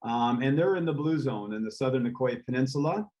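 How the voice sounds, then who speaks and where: A man, a real estate developer, speaking as a guest in a casual interview, relaxed and rambling with frequent ums and uhs. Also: mid-range voice, a little fast, muffled recording. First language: English.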